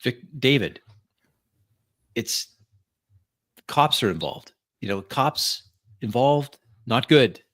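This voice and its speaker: A man's voice: mid-range voice, lively intonation, takes it slowly, pauses often, fairly bright tone, no room sound, clean studio sound, pitched above the performer's own voice. A man plays a snobbish male vampire in a tabletop horror roleplay. He is fussy and exasperated, stammering his emphatic protests. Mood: flustered, exasperated.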